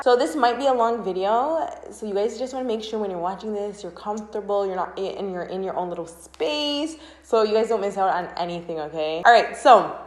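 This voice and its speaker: Chatty young woman vlogger in an echoey room. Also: medium pitch. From Canada. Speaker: vlogger and channel host. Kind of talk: casual chatty vlog to camera. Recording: roomy, echoing room sound.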